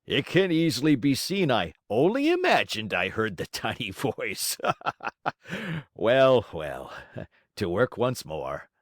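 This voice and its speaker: An excitable old fairy-tale carpenter performed by a man, talking to himself with theatrical emphasis. His pitch swoops widely and his words come deliberately, in a close, dry sound. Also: no room sound, full character voice. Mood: nervous bravado. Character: excitable old male carpenter, funny, bluffing, easily frightened.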